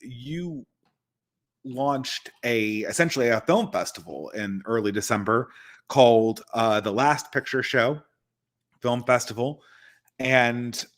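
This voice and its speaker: A man's voice: mid-range voice, slow pace, a bit bright. A man hosting a casual live-stream chat from home, rambling with many restarts. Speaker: host.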